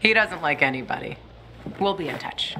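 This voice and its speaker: A low, forceful-edged voice as a cool young female survivor in a game. She talks in a wry, teasing, casual way, like a guide who has seen it all. Faint noise sits behind her. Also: animated, normal speed, full character voice, poor audio. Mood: wry, amused. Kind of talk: video game cutscene dialogue.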